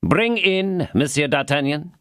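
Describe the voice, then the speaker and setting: A man's voice: fairly high pitch, animated, a bit bright, clear, resonant tone, no room sound, clean studio sound, pitched above the performer's own voice. A man voicing a stern, officious police commissary interrogating a prisoner. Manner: interrogating, officious, slow and emphatic.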